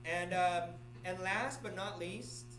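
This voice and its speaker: A man's voice: high voice, slow, a bit bright, slight background noise. A middle-aged man from Vietnam gives announcements at an office meeting, repeating himself and often checking in with "okay?". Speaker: real estate office manager or broker.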